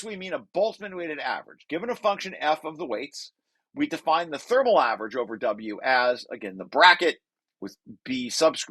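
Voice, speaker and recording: A man's voice: medium pitch, fairly bright tone, dry recording, rough recording. A man reading through a technical paper draft like a lecture, explaining as he goes with informal asides and often breaking off to rephrase.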